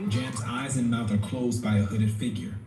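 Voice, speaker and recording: A man's voice: medium pitch, steady tone, normal speed, natural voice. A man giving scripted narration for an audio drama. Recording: roomy, distant playback with music behind.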